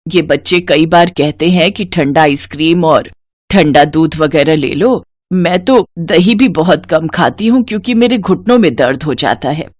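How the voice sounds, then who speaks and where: A woman voicing a gentle, caring elderly mother-in-law in a moral story, speaking in a patient, motherly way. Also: low voice, animated, moderate pace, no room sound, studio quality. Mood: plaintive. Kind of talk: scripted Hindi moral-story cartoon voice.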